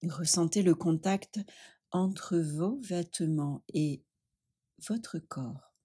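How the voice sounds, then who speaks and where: Very slow, low-voiced woman guiding relaxation. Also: slightly rough. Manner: soothing, gentle, with long pauses. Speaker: yoga nidra instructor. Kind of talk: guided relaxation meditation.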